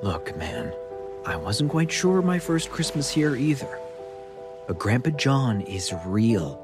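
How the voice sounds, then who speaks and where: A man's voice: mid-range voice, big pitch swings, slow, over music, voice pushed up. A man voicing a stern, protective father in a story, speaking in a firm, intimidating way. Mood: menacing. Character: stern, threatening father, protective brother-in-law.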